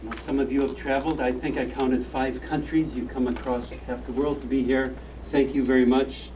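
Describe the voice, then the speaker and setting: A man's voice: mid-range voice, little pitch movement, normal speed, a little mellow. A man acting as master of ceremonies at a ship's opening, welcoming guests and interviewing crew, hesitant and joking with many filler sounds. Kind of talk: ceremony hosting, informal interviews. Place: aboard a ship at a public opening ceremony. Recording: distant mic, clear background noise.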